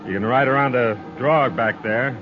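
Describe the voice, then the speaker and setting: A man's voice: mid-range voice, normal speed, music in the background, lightly acted. Folksy, stubborn trail boss, acted by a man, muffled radio. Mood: wary, firm. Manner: plain-spoken, unbudging. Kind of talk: 1950s radio western acting. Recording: muffled old radio, loud outdoor effects.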